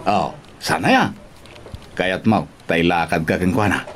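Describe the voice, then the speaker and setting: A man with an Ilocano accent playing a teasing, excitable buddy from work in an audio drama. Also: low-pitched, a little slow, a little hiss or hum. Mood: playful teasing. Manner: playful, exaggerated teasing.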